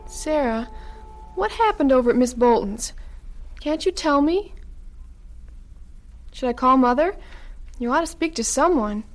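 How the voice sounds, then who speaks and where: Worried big sister in a radio play, high pitched, a bit reproachful, some music. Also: female voice, lively intonation, measured pace, pauses often. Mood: concerned. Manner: reproachful, coaxing. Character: earnest, concerned older sister. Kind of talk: radio drama acting.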